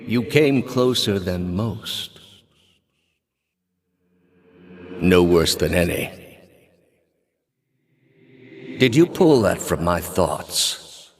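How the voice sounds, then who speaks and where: Low voiced older zealot priest from a video game, calm, grave, formal, preachy and in command. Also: male voice, slow pace, heavily acted. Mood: cold, judging. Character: solemn, pious male high priest, calm and commanding zealot. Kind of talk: dramatic video game character dialogue.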